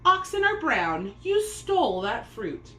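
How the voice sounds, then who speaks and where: A woman's voice: fairly high pitch, big pitch swings, slow, pushed and bright, roomy sound, recorded from a distance, pitched above the performer's own voice, heavily acted. A woman voicing a cruel, scornful stepmother in a children's storybook, snapping and hissing her accusations. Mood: scornful accusing. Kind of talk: children's storybook read-aloud.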